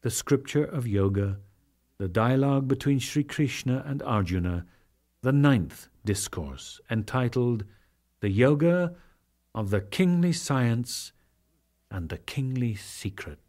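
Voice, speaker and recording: Slow, solemn male scripture narrator. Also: medium pitch, expressive, a little mellow. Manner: solemn, formal, measured. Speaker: audiobook narrator. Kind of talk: dramatic reading of sacred scripture.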